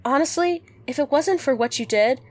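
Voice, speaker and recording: A woman acts as a young female adventurer in a radio drama. She is supportive and frank, with a fairly high, animated voice. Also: moderate pace. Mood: reassuring.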